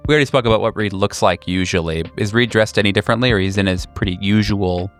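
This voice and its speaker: A man's voice: lowish voice, quick pace, faint music behind. A man hosting a tabletop role-playing podcast as game master, introducing the show and prompting players.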